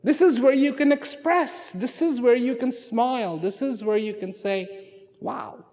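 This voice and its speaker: A man giving a reflective public talk, slow and emphatic, swinging from loud declarations to hushed, almost whispered lines. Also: very high voice. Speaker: speaker. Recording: long hall reverb with faint music.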